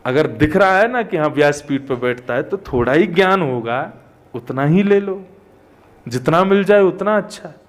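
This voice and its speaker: High-voiced male katha preacher. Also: normal speed. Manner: conversational, animated storytelling with rhetorical questions. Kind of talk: devotional discourse with everyday anecdotes.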